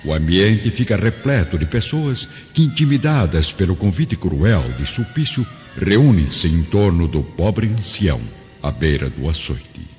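A man's voice: deep voice, very animated, unhurried, darkish tone. A man narrating a radio drama in a solemn, literary, scripted style. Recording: dull recording, long reverb, music behind. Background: music.

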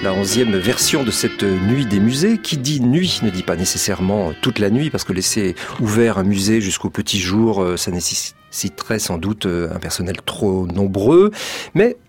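A man's voice: fairly low pitch, lively intonation, normal speed, faint music behind. A middle-aged man hosting a late-night radio show in a studio, talking in a meandering way with many fillers and restarts. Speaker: radio host. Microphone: studio microphone.

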